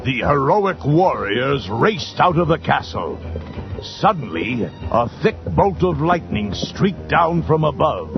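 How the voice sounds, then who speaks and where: A man's voice: on the high side, very animated, slow, gravelly. A man narrating a children's adventure storybook in a dramatic, scripted style. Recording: dull lo-fi tape with music behind.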